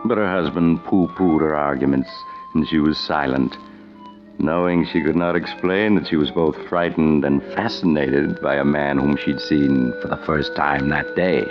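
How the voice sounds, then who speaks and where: A man narrating an old radio drama in a grave, measured way. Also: very deep voice. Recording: dull lo-fi old radio recording.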